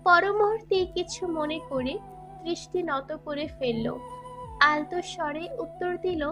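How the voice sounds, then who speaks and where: A woman narrates a romantic audio story in a very high, girlish-pitched voice. She reads in a scripted dramatic style, with clear music playing behind her. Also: unhurried, sharp tone. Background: background music.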